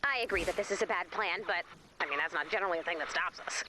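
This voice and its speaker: An actress playing a quirky, upbeat young team leader in a video game, chatty and animated with comedic delivery. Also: female voice, fairly low pitch, quick pace, background noise, close to an everyday voice. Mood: resigned. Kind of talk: video game character voice acting.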